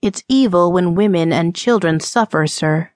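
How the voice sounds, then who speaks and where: A woman's voice: on the low side, normal speed, darkish tone, dry recording, clean studio sound, lightly acted. Woman as a prim, flustered frontier temperance lady. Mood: prim, indignant. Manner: proper, indignant, formal. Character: prim, flustered Old West temperance woman, easily scandalized.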